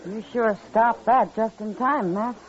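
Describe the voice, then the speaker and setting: A woman's voice: a little high, a little slow, background noise, lightly acted. A woman plays a concerned frontier confidante in an old radio western. She is plain-spoken and familiar, heard on a dull lo-fi recording. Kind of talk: 1950s radio western acting.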